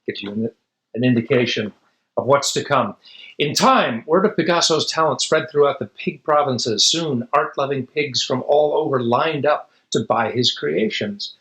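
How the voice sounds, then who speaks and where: A man's voice: mid-range voice, moderate pace, a bit bright, echoey room, rough recording. A man reading a children's picture book aloud expressively, with dramatic emphasis and casual asides. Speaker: storybook reader.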